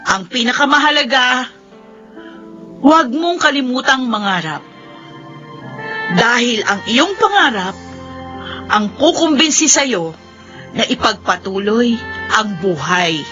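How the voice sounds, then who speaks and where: A young radio drama heroine with a high, bright voice. She speaks with earnest, wounded feeling and a forgiving heart. The broadcast is slightly dull, with music underneath. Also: lively intonation, slow pace, pauses often, exaggerated voice. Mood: reflective, hopeful. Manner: emotive, earnest delivery. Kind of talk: Filipino radio drama acting and narration.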